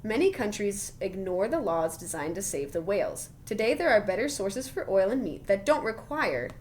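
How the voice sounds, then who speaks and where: A woman reading a children's science book aloud like a teacher, expressive and full of wonder, with chatty, encouraging asides to young listeners. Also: mid-range voice, normal speed, slight background noise.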